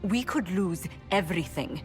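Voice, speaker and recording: An actress playing a poised but anxious court diplomat in a fantasy game, with formal, precise diction. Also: female voice, fairly low pitch, animated, moderate pace, faint music behind, natural voice. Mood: anxious, stern. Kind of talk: video game dialogue acting.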